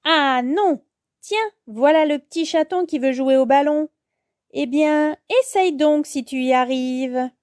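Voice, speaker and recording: A woman's voice: high-pitched, slow, hardly any pauses, darkish tone, no room sound, studio quality. A woman voicing a gloating, teasing young girl villain in a children's storybook. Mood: mocking.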